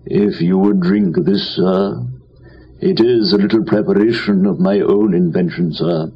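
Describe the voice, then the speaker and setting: A man's voice: sits low, steady tone, a little slow, slightly rough, pitched below the performer's own voice, full character voice. A man voicing a deferential, composed valet in a comic story, speaking formally and politely. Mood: unruffled, deferential. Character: deferential, composed English valet, smooth and respectful. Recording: dull lo-fi with faint noise.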